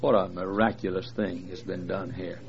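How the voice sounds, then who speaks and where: A man's voice: sits low, little pitch movement, moderate pace. A man in his sixties, a visiting preacher, joking folksily with a large congregation, with many fillers. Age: 60s. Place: large church. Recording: dull lo-fi recording with faint noise.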